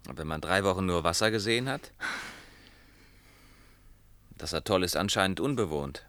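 Dry-toned adventurous seafarer. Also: male voice, sits low, lively intonation, moderate pace, fairly bright tone, no room sound. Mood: casual, skeptical. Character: adventurous, wary male sea traveller piloting a glider. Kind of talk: German science fiction radio drama acting.

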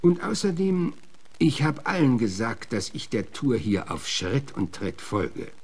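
An actor playing a gruff, plain-spoken middle-aged frontier marshal in a German radio drama, halting, folksy and awkwardly courteous, with a gravelly voice. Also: male voice, mid-range voice, lively intonation, exaggerated voice. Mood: reassuring, confiding. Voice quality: gravelly, husky.

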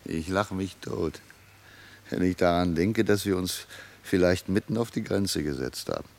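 An actor playing a wry, evasive and testy soldier in a radio play, hesitant and sardonic. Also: male voice, sits low, a little slow, gravelly, darkish tone. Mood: amused, wry. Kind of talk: German radio play dialogue.

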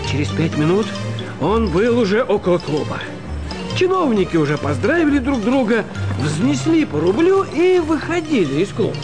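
A man's voice: sits high, big pitch swings, normal speed. A man reading scripted literary narration expressively for a radio story, with music behind.

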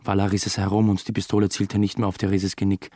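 A man's voice: on the low side, completely flat delivery, quick pace, darkish tone, no echo, clean studio sound, natural voice. A young man acting as the earnest, reflective first-person narrator of a radio drama, a new father. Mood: reflective narration. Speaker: narrator and lead character.

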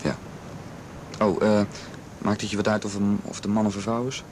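A lightly noisy radio drama recording presents an earnest young man played by an actor as a protective friend. His voice is fairly low, and he is persistent and reassuring as he urges. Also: little pitch movement, normal speed, natural voice. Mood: relieved, practical. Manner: urging, insistent. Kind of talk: naturalistic radio drama acting.

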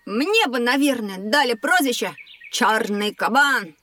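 A woman's voice: fairly high pitch, expressive, a little slow, bright, forceful tone, a little hiss or hum. A woman playing a cheeky, curious young boy with blunt, eager questions in a scripted children's audio drama. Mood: cheeky, boastful.

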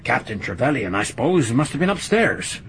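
Plodding country sergeant for a radio play, middle pitch, animated intonation. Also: male voice, slight background noise. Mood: deferential. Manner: slow, deliberate, deferential. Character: steady, plodding village police sergeant. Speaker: police sergeant. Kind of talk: 1980s BBC radio drama acting.

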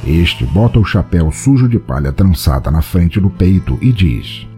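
A man's voice: low voice, sing-song, normal speed, mellow, faint music behind. A Brazilian man hosting and narrating a podcast from a script, with a wry, theatrical manner.

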